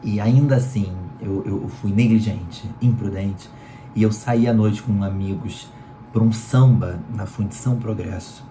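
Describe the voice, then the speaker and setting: Carioca male reviewer, hoarse with a cold, low and mellow, chatty tags. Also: steady tone, measured pace, slight background noise. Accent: Carioca Brazilian Portuguese. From Rio de Janeiro, Brazil. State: hoarse, sore throat from a cold. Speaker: book reviewer. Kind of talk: casual book review talk. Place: room at home in Rio. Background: electric fan running.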